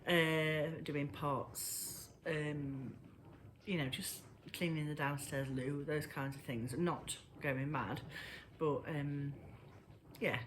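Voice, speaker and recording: A woman chatting casually to camera about her knitting, a little breathless and wheezy, pausing often as she talks. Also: sits low, fairly even intonation, slight background noise. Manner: halting, pauses often. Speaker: knitting vlogger. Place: at home indoors.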